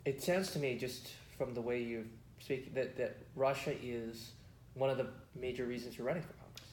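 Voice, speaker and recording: A man interviewing a candidate, asking calm probing questions. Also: mid-range voice, moderate pace, a little hiss or hum. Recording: off-mic in an echoey room.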